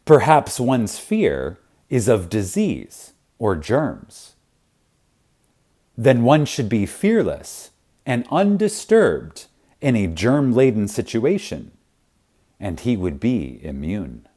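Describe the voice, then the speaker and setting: A man with a dark, relaxed voice reads a spiritual text as an audiobook narrator. His delivery is very slow and reverent, with animated intonation. He leans on key words and leaves deliberate pauses for effect. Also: lowish voice. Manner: reverent, emphatic, dramatic pauses. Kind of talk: scripted audiobook reading of spiritual teaching.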